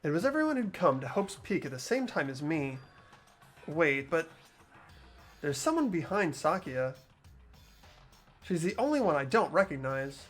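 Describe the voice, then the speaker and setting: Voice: mid-range voice, sing-song, moderate pace, long pauses, fairly bright tone, soft background music. A fan dubber voicing an anxious teenage boy student, narrating his worried inner thoughts in a game. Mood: puzzled. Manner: earnest inner monologue, worried questioning. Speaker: host and lead voice actor.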